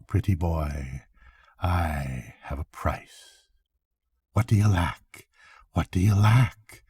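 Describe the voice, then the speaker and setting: Old man voicing a cunning, mellow young witch. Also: lowish voice, husky, dry recording, studio quality, heavily acted. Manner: chanting, repetitive, drawn-out coaxing. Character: cunning young witch peddling dark spells, coaxing temptress.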